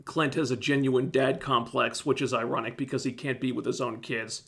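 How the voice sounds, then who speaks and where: A man hosting a casual livestream, with a phlegmy, scratchy throat, talking in a relaxed, rambling way that keeps breaking off and restarting. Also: mid-range voice, moderate pace, gravelly. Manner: relaxed, rambling, self-interrupting. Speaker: livestream host reviewing TV shows. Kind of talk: casual livestream chat answering viewers.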